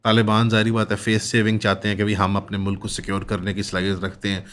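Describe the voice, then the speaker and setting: Low-pitched Pakistani man giving political commentary. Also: monotone, fast, fairly bright tone, slight background noise. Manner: mixes English terms into Urdu, long winding sentences. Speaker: news analyst and commentator.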